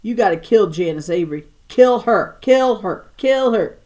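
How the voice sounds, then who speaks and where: A woman voicing a loud, stubborn little first-grade girl in a storybook, with screechy, rustic, ungrammatical speech. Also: medium pitch, animated, normal speed, close to an everyday voice. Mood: screaming, enraged.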